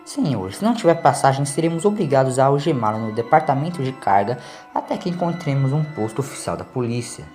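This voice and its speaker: Man playing an officious, forceful ship chief. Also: medium pitch, lively intonation, a little fast, faint music behind, voice pushed up, lightly acted. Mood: indignant. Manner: authoritative, formal, forceful announcements. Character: officious male ship chief, blustering, anxious to keep order. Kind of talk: audio story character acting.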